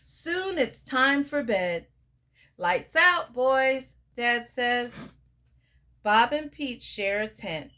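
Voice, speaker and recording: A young woman reading a picture book aloud to children, sing-song and pausing to ask the listener questions. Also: on the high side, lively intonation, a bit bright. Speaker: storyteller.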